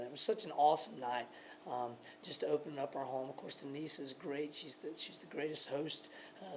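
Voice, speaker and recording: A raspy, hoarse man in his thirties speaks earnestly to camera, still recovering his voice after a long cold. His voice sits somewhat high, and he hesitates often with fillers. A faint hiss of noise runs under the recording. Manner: earnest, halting, many uh fillers. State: hoarse from a cold, voice partly gone. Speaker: campus church planter giving a supporter update. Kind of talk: casual video update.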